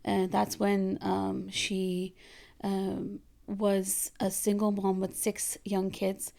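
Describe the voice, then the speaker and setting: A woman from Afghanistan in her thirties, a guest speaking in a casual interview with many pauses and fillers. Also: mid-range voice, completely flat delivery, vocal fry, warm tone. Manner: hesitant, many ums and restarts. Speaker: guest, rug business founder.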